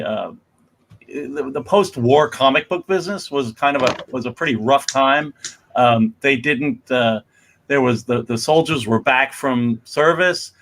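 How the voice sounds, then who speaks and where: A man hosting an event with an informal introduction, speaking in a halting, rambling way. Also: mid-range voice, a bit bright. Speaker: host, museum executive director.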